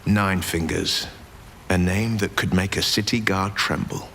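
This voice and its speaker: Lowish-voiced, wry male adventurer. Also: steady tone, a little slow, vocal fry, fairly bright tone, slight background noise, full character voice. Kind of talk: fantasy game dialogue.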